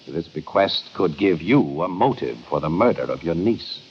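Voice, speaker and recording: An actor playing a commanding police inspector who questions pointedly, in an old-time radio detective drama. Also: male voice, deep voice, animated, moderate pace. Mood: probing. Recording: muffled, noisy old radio recording.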